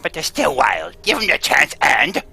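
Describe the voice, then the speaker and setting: Snappy, defensive circus trainer read by a man; wild range, gravelly. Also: fairly high pitch, huge pitch swings, normal speed, soft background music, heavily acted. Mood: curt. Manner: defensive, snappy, hushed then shouting. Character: defensive woman animal trainer, sharp-tongued. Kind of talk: live old-time radio table read.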